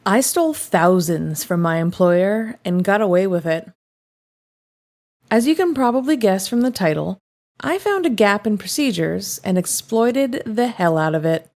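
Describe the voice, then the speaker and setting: A woman reading first-person confession stories aloud in an expressive, conversational storytelling manner. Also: mid-range voice, a little slow. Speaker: story reader, host of a show reading online confessions.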